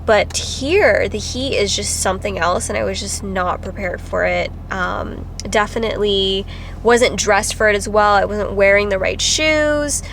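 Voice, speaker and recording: A woman's voice: mid-range voice, a little slow, creaky, fairly bright tone, a little hiss or hum. A woman, a travel vlogger chatting casually to the camera, a little congested from a cold, with lots of "like" and "um". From Philippines. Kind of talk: casual vlog talk to camera. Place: driving around and outdoors in summer.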